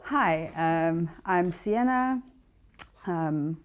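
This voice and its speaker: A woman from South Africa, a photographer speaking as a panel guest, soft-spoken and hesitant. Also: on the low side, lively intonation, drawn-out words. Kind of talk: casual conversational talk.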